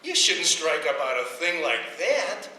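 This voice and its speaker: Man as eager radio-comedy announcer, earnest, reasoning, highish swooping pitch, distant echoey recording. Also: very animated, a little slow, pushed and bright, heavily acted. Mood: earnest, coaxing. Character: helpful, earnest male radio announcer mediating a squabble. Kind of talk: old-time radio comedy acting. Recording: echoey room, distant mic, faint noise.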